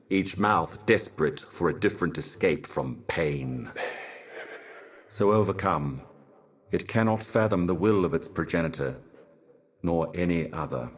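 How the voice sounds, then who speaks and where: An actor playing a grave, cryptic otherworldly envoy in a video game, speaking in a formal, oracular manner. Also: male voice, deep voice. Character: solemn, cryptic otherworldly male envoy of a cosmic mistress. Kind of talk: video game character voice lines.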